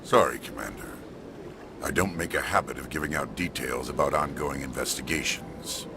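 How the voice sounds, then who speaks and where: An actor voicing a stern, distrustful alien police executive in a video game, speaking in a formal, curt and disdainful manner. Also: male voice, extremely low pitch, normal speed. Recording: game music behind the voice.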